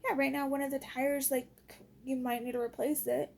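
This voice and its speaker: A woman with a notably high voice and a soft, mellow tone talks as if venting to her followers. She is exasperated and blunt, and keeps it casual and full of slang. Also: little pitch movement, moderate pace, gravelly. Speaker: vlogger.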